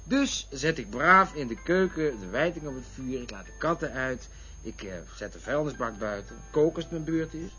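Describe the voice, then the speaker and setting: Restless radio-play man, higher-voiced, flippant and rambling, bitter underneath; dull recording with music. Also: expressive, normal speed, bright, forceful tone. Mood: wistful, musing. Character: restless, crude, joking man brooding over love and women.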